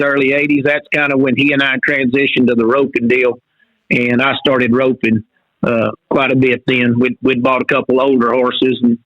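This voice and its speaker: A Texan man in his fifties, a college rodeo coach, telling stories in an easygoing drawl with many pauses. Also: mid-range voice, no echo, dull audio. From North Texas. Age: 50s. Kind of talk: casual podcast interview.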